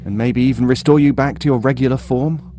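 A harried shipmate played by a man talks in scripted audio drama style. His voice is mid-pitched, over faint ambient noise. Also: steady tone, fairly quick, warm tone, lightly acted. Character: harried male shipmate nursing a failing machine.

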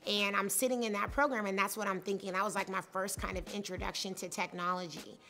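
Founder, woman, 30s: high-pitched voice, candid chatty storytelling with fillers. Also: steady tone, quick pace, bright, forceful tone. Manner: candid, conversational, with many fillers. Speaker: startup founder. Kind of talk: personal story told in interview. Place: studio set with leafy wall.

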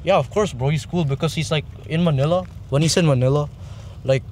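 A young man, slightly high-voiced, rambles through a story and fills it with "like". He sits in a parked car with faint outdoor noise around him. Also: fairly quick, darkish tone. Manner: rambling, filler-heavy storytelling. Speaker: friend. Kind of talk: casual storytelling chat.

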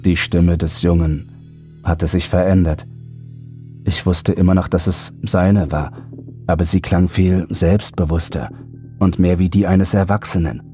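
Deep-voiced man narrating horror. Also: fairly even intonation, a little slow, dark tone, soft background music. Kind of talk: dramatic scripted first-person narration.

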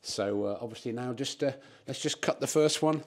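A man in his fifties hosting a DIY vlog from his garage, explaining things casually with frequent pauses on a lapel mic. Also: mid-range voice. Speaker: host of a DIY car-building vlog. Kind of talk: casual instructional talk to camera. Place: home garage. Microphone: clip-on lapel mic.

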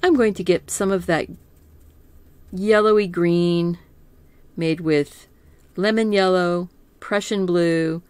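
A middle-aged woman teaching a live painting lesson in a calm, soothing voice, gently thinking aloud as she works. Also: lowish voice, clear, resonant tone, no echo. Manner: slow, gentle, thinking aloud with small laughs. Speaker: art teacher.

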